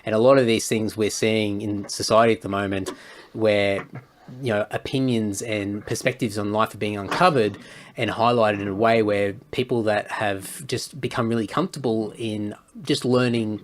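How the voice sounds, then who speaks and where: A man in his thirties, a podcast guest, talking in a thoughtful, measured way. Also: fairly low pitch, steady tone, a little hiss or hum. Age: 30s. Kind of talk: casual conversation.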